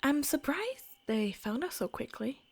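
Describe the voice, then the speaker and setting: A woman playing a taunting, sinister vampire in an audio roleplay, with a breathy voice that sinks toward whispers and a mocking drawl. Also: high voice, sing-song, measured pace, darkish tone, full character voice. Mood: mocking, menacing. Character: taunting, sinister female vampire, coolly menacing. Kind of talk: cinematic audio roleplay acting.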